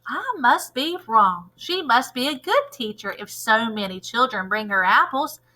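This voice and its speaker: A woman's voice: a little high, expressive, a little slow, sharp tone. A woman voicing an easygoing, naive, cheerful male yard teacher in a storybook, musing aloud. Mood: impressed.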